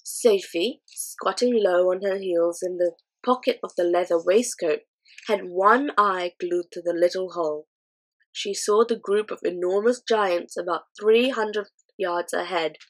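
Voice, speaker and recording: A woman's voice: lowish voice, measured pace, low-quality recording. Woman reading a storybook aloud. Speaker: audiobook reader. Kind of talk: storybook narration.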